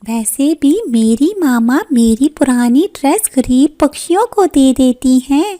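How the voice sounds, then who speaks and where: A snooty young girl bird from a cartoon moral tale, voiced by a woman. She speaks high and slowly, looking down on her poorer classmate. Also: mellow. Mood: condescending. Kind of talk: Hindi cartoon moral story acting.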